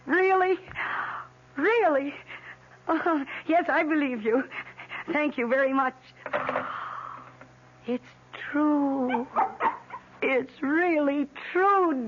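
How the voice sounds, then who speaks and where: Fragile American housewife, young, obsessive and intense, classic radio drama, dull faintly hissy recording. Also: female voice, fairly high pitch. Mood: hopeful, excited, then elated, doting. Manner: intense, dramatic, breathy emphasis. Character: fragile, obsessive housewife confessing her killer plants' deed.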